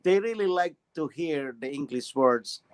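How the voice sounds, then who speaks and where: A man's voice: on the high side, lively intonation. Man hosting an interview. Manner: halting non-native English, effusive praise. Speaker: interview host. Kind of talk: interview questions and comments.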